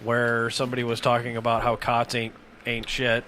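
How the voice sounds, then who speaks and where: A man's voice: mid-range voice, monotone, moderate pace, crowd noise. Joking man hosting a podcast. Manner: joking, swears casually. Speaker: podcast host. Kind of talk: casual chat.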